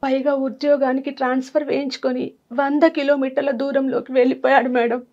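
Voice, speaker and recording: Female talk show guest, thirties, high voice, giving a personal confession. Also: little pitch movement, a little fast, darkish tone, highs cut off. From Andhra Pradesh, India. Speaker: guest seeking advice.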